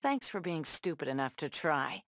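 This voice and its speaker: An actress playing a tough, sardonic superheroine brawler in a video game, tossing out sassy, taunting one-liners. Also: female voice, deep voice, big pitch swings, moderate pace, a bit bright, no room sound, studio quality, heavily acted. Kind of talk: video game fight banter.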